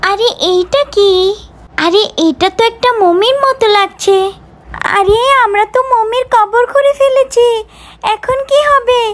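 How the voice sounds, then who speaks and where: A woman's voice: very high voice, unhurried. A woman voicing a frightened young child doll in a children's story, urgent, exclamatory and pleading. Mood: excited, alarmed. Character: frightened young village child doll screaming for rescue from a mummy.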